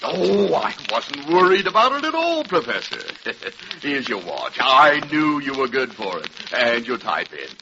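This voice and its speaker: An actor playing a sly, fawning soda fountain proprietor in a vintage radio comedy. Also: male voice, mid-range voice, very animated, a little slow. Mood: ingratiating. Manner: fawning, hesitant lead-ins. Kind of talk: 1950s radio sitcom acting. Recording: noisy, muffled old radio recording.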